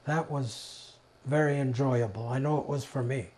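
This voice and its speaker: An elderly man from Czechoslovakia giving personal testimony, telling his story deliberately in short, emphatic phrases with pauses. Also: medium pitch, a little mellow. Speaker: interviewee, Holocaust survivor giving testimony. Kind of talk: personal oral history testimony.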